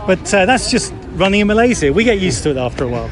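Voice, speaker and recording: A friendly British man with a fairly high voice answers questions outdoors in a park. He hesitates often and repeats words while he finds them, and a crowd chatters all around him. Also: sing-song, rapid-fire. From United Kingdom. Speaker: run director. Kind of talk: casual interview. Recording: outdoor recording with lots of voices nearby.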